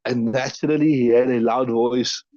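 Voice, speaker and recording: A man's voice: mid-range voice, fairly even intonation, moderate pace, dark tone, no echo, highs cut off. A man teaching a hadith class, reading each line aloud and then explaining it step by step.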